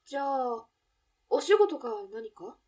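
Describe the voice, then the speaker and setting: A woman playing a guarded, lovelorn café barmaid in a radio drama, speaking in soft, understated, feminine phrases. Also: high voice, animated, slow, heavily acted. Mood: polite, guarded. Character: guarded café barmaid still longing for lost love. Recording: distant from the mic.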